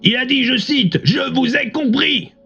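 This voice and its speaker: An actor playing a radio presenter questioning a guest in an audio drama, in an animated manner. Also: male voice, very high-pitched, a little slow, gravelly, husky, lo-fi sound, faint music behind, exaggerated voice.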